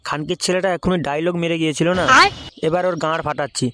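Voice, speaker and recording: A scheming cartoon gang boss, played by a man. His voice is a little high, and he gives orders in a crude, suspicious, commanding manner. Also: fairly even intonation, fast, a little hiss or hum, close to an everyday voice. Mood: bossy, suspicious. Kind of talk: adult comedy cartoon voice acting.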